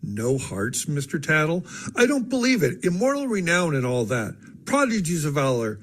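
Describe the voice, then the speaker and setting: A man's voice: a little high, wildly swooping pitch, moderate pace, a bit bright, heavily acted. A man voicing a flighty society widow who chatters and jumps from topic to topic. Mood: chattering. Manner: scatterbrained, jumps between topics.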